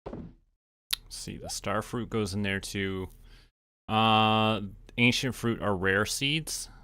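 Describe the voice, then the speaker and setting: A man's voice: fairly low pitch, slow, sharp tone, no echo. A man chatting casually on a gaming livestream, thinking aloud as he plays. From Canada. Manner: musing, talking to himself. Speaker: streamer. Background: clear background music.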